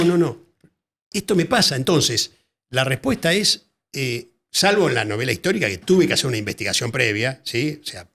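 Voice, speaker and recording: A man with an Argentine Spanish accent, a writer being interviewed, talking in a thoughtful, halting way and often repeating words as he searches for phrasing. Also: medium pitch, creaky, a bit bright. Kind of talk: casual interview conversation.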